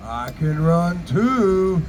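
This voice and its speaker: A man playing a huge, boastful, dim-witted grizzly bear who roars slowly in a storytelling puppet show. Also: very animated, full character voice. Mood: dogged. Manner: slow, roaring, boastful. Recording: noisy outdoor live recording, traffic rumble.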